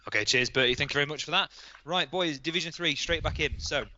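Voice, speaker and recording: A man's voice: on the high side, fast talker, muffled recording, music in the background. A man chatting casually and in a blokey way as he hosts a podcast among friends. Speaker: host of a gaming league podcast.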